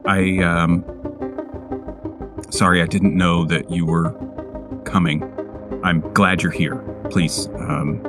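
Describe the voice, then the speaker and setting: A man playing a tabletop roleplaying game, improvising in character in a halting, hesitant way. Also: deep voice, flat delivery. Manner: halting, hesitant, searching for words. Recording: music playing behind the voice. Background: music.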